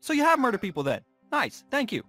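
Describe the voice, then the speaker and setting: A man's voice: extremely high pitch, wildly swooping pitch, quick pace, fairly bright tone, dry recording, faint music behind, full character voice. A man acting a stubborn, hot-headed young man protecting his friend in an amateur game roleplay. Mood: angry, sarcastic.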